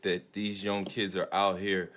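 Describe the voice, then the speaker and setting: Lower-pitched, forceful American man. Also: flat delivery, a little slow. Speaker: podcast co-host. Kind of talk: casual debate.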